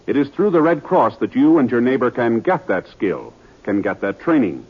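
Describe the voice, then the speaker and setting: An American man announcing in a formal, measured, declamatory vintage radio style. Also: mid-range voice, big pitch swings, slightly rough. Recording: muffled vintage radio recording with music.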